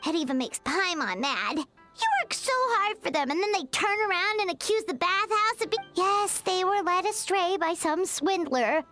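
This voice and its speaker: A woman voicing a tiny, excitable girl fairy companion in an anime video game, with a squeaky childlike voice and emphatic manner. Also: moderate pace, over music. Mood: indignant concern. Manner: speaks of self in third person, emphatic.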